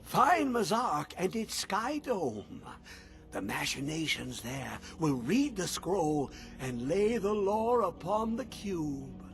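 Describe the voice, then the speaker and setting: An actor playing an eccentric, cryptic hermit scholar in a fantasy video game, with a creaky voice and theatrical, incantatory delivery. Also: male voice, fairly high pitch, very animated, takes it slowly, soft background music, heavily acted. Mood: cryptic, rapt.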